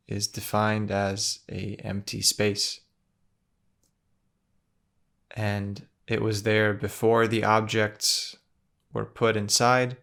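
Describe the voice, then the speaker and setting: A man leading a guided meditation in a gentle, measured manner, with long pauses between phrases. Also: on the low side, gravelly.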